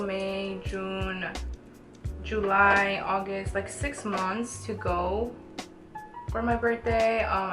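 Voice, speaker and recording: Female beauty vlogger, early twenties, informal and conversational, echoey room with background music. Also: mid-range voice. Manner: informal, chatty, thinking aloud. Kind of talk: casual makeup tutorial chat. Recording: roomy echo with background music.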